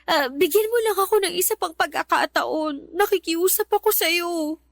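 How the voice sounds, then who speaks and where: High-voiced pleading girlfriend. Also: moderate pace, vocal fry, no echo, clean studio sound. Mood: desperate begging. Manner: pleading, emotional. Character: pleading live-in girlfriend and mother, proud but desperate. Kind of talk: Tagalog radio drama acting. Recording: faint music underneath.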